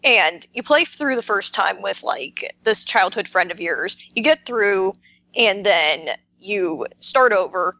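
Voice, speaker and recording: A woman's voice: mid-range voice, expressive, slow, bright, forceful tone, low-quality recording. A woman chatting casually as a podcast panelist, telling things in a hesitant, filler-heavy way. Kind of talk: casual roundtable chat.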